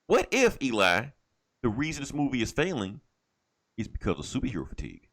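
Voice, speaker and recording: A man with very lively, swinging intonation hosts a casual podcast. He talks in an opinionated, emphatic way, repeating himself to drive points home and swearing freely. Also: medium pitch, fairly quick. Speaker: podcast host.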